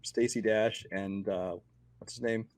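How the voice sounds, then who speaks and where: A man co-hosting a casual movie podcast, easygoing and measured, who moves the show on to the next film. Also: lowish voice, steady tone, a little mellow. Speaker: podcast co-host.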